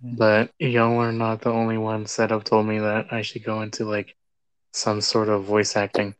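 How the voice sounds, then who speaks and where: A well-spoken man talking in a calm, monotone way during casual chat. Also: fairly low pitch, moderate pace, clean tone, dry recording, low-quality recording.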